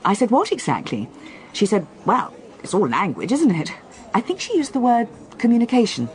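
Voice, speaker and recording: A woman's voice: a little high, huge pitch swings, normal speed. A woman acting in a radio drama as an earnest, restless reporter, articulate but hesitant and questioning. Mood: wry, chatty.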